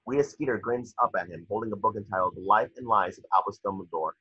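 A man with a husky voice reading screen directions as narrator at a table read over a video call. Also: lowish voice, quick pace, bright, forceful tone, slight background noise. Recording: muffled lo-fi video call audio.

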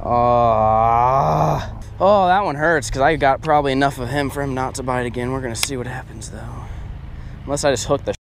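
A man's voice: mid-range voice, moderate pace, a little hiss or hum. A young man chatting casually in an exclamatory, slangy fishing vlog style, often repeating his phrases. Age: young adult. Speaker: angler vlogger. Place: outdoors on a bass boat on open water. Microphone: body-worn action camera.

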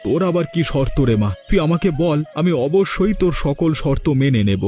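একজন পুরুষ কার্টুনে এক স্নেহশীল, দায়িত্বশীল গ্রামের কুমোর বাবার চরিত্রে আন্তরিকভাবে বুঝিয়ে কথা বলছেন।. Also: male voice, fairly high pitch, sing-song, brisk, mellow. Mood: caring, coaxing. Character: responsible, caring village potter and father. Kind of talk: Bengali cartoon acting. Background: background music.

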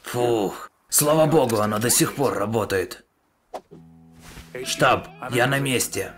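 A man's voice: medium pitch, fairly bright tone, faint music behind. A man voicing a weary, grumpy middle-aged soldier hero in a parody cartoon dub, complaining and cursing. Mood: matter-of-fact. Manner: slow, sweary, complaining.

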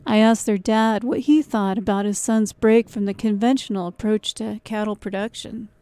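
A woman narrates a documentary in a measured, clear voice-over. Her tone is mellow and warm, recorded dry and close with no room sound. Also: medium pitch, fairly even intonation, no echo. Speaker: reporter and narrator.

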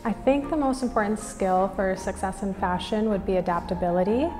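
A woman's voice: mid-range voice, a little fast. A young woman from Minnesota with an American accent, giving an interview testimonial as a student. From Minnesota, United States. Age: young adult. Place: indoors against a plain wall and banner. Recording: reverberant hall, music underneath. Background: background music.

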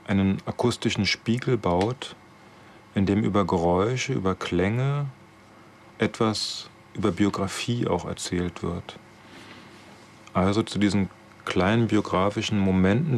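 A man in his forties with a very low voice talks reflectively in an interview. He builds his thoughts in short, measured phrases, with clear noise behind him in a studio control room. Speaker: radio drama director.

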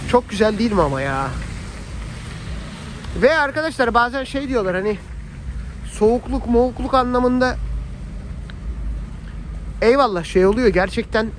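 A man's voice: very high-pitched, lively intonation, normal speed, pauses often. A man chats casually and colloquially to his viewers as a family vlogger. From Turkey. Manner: chatty, colloquial, addresses viewers as friends. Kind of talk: casual vlog chat to viewers. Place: outdoors on a snowy city street. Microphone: handheld phone while filming. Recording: outdoor phone audio, faint street noise.

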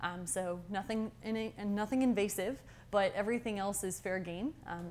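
A young woman giving an informal, upbeat and explanatory guided campus tour as a student guide. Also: medium pitch. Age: young adult. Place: indoor university labs and hallway. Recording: steady clear background room noise.

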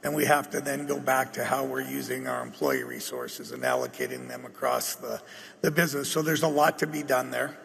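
A middle-aged man with a North American accent giving a measured, halting, unscripted business explanation as an executive at a meeting. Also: mid-range voice, huge pitch swings, vocal fry, a bit bright. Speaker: company executive answering at an annual shareholder meeting. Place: large meeting hall stage. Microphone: table microphone. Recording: echoey hall through PA.

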